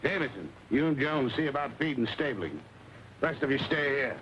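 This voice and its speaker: An actor playing a crooked, bossy cavalry captain in an old Western, curt and commanding. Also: male voice, medium pitch, sing-song, normal speed, natural voice. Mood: brusque. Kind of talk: old Western film villain acting. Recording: muffled old soundtrack with clear hiss.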